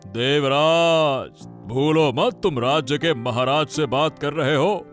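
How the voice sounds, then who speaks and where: A man's voice: on the high side, takes it slowly, faint music behind. A man voicing a shrewd, jovial king who probes his courtier with stately, repeated phrases in a Hindi cartoon. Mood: knowing, commanding. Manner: stately, probing, repeats himself. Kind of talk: Hindi cartoon moral-story dubbing.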